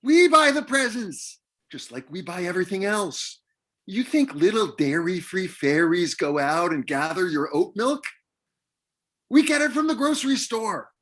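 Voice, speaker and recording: A man's voice: high voice, sing-song, a little slow, a bit bright, exaggerated voice. A man playing a blunt, sarcastic, exasperated father of a grown son in a comedy table read. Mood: fed up.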